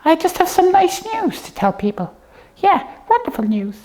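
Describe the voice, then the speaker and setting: High-voiced woman chatting on a puppet show. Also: sing-song, moderate pace, a little mellow, low-quality recording. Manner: hesitant, rambling explaining. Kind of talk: casual comic chat.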